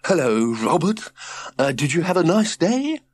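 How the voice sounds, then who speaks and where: A man's voice: fairly high pitch, sing-song, a little slow, voice pushed up. A man voicing an eccentric, fussy father in an audiobook, hesitant yet over-enthusiastic.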